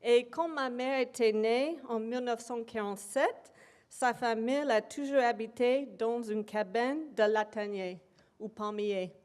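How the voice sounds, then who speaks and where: Woman giving a talk, slow and halting, kinda high voice. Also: darkish tone. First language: English. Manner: hesitant, many pauses and fillers. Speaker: invited speaker. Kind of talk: academic presentation. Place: lecture hall.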